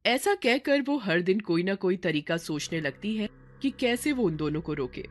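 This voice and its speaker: A woman's voice: medium pitch, expressive, normal speed. A woman narrating a scripted dramatic story as a storyteller.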